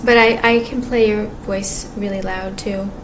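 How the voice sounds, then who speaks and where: A woman with a quiet voice talks casually to camera, rambling and halting with many restarts and fillers. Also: mid-range voice, fairly even intonation, low-quality recording. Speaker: vlogger. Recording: clear background ambience noise.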